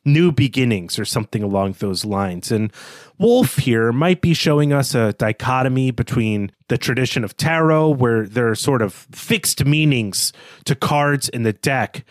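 Male podcast co-host, mid-pitched, reflective and analytical, discussing books in a very dry room. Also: lively intonation, a little slow, no room sound.

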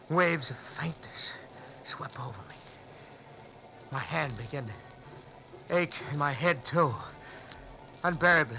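A man's voice: fairly high pitch, creaky, sharp tone, poor audio. Tense male radio drama narrator. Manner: tense, nervous, first-person confession. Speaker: narrator and lead character.

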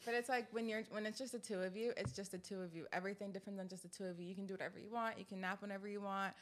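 A woman's voice: mid-range voice, steady tone, very fast, a little hiss or hum. A woman of twenty-seven, an interview guest, telling her story earnestly, rambling with many likes. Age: late 20s. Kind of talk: casual storytelling.

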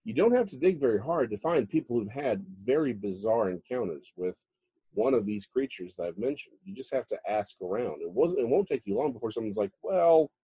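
A man speaks casually as an interview guest. His voice is mellow and a little husky, recorded dry and close. He leans on words when he gets going. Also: medium pitch, lively intonation, fairly quick, no echo, poor audio. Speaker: guest author.

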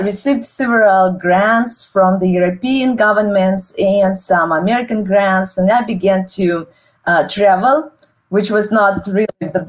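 A middle-aged woman with a Russian accent, a native Russian speaker, explaining her research as a guest history professor in a hesitant, pausing way. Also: medium pitch, rough recording. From Soviet Union. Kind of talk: explanatory interview talk.

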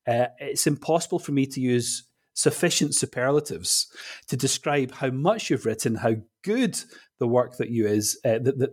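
Guy hosting an interview podcast, medium pitch, chatty. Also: a little mellow. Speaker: podcast host. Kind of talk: interview chat.

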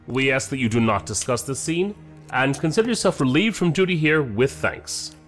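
A man's voice: mid-range voice, animated, moderate pace, a bit bright, faint music behind, lightly acted. A man playing a courteous, authoritative federal special agent in an audio drama. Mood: courteous, commanding.

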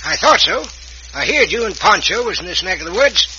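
An actor playing a folksy Old West sheriff with an American western accent in a vintage radio drama. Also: male voice, sits high, very animated, a little fast, bright, forceful tone, lo-fi sound, exaggerated voice. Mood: relaxed. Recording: hissy vintage radio recording.

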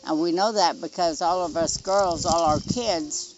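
A woman's voice: lowish voice, a little slow. A middle-aged woman with a rural Oklahoma accent, recounting events first-hand in a blunt, matter-of-fact, conversational way. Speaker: interviewee, mother recounting events. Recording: muffled interview recording, music underneath.

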